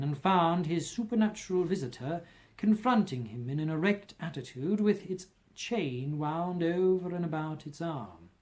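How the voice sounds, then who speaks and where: A man reading a classic story aloud as an amateur narrator, with expressive scripted delivery. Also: a little high. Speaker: amateur audiobook reader.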